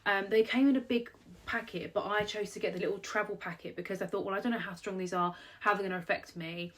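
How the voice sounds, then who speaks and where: A woman's voice: mid-range voice, fairly even intonation, fast talker, a bit bright. A young British woman vlogger with a cold, sounding slightly nasal and bunged up, chatting casually to camera about her shopping haul with lots of "um" and "like". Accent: British English. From England. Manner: chatty, rambling, many "um" and "like". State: blocked nose from a cold.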